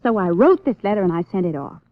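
A woman's voice: mid-range voice, animated, fast, no echo, close to an everyday voice. A woman playing a coy, anxious stage actress with a hesitant, genteel American delivery in 1950s radio drama. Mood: pleading. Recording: tinny, narrow-band 1950s radio recording.

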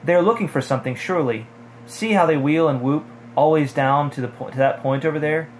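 A man voicing a frightened, excitable young hobbit from a storybook, with urgent pleading and exclamations. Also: on the high side, fairly quick, a little hiss or hum, lightly acted, poor audio. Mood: anxious, panicky.

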